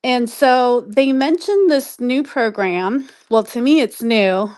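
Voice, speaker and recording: A woman's voice: a little high, slow, a little mellow, dry recording, rough recording. A woman guest explaining things in casual, informal chat, feeling her way through her sentences a little hesitantly. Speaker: guest on a live online show.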